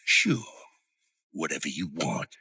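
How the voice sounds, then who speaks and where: A man playing a blunt, profane Southern American mob boss in a game cutscene, with a gruff, folksy manner. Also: very deep voice, sing-song, takes it slowly, pushed and bright, heavily acted. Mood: gruff, irritated. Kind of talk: dramatic game cutscene dialogue.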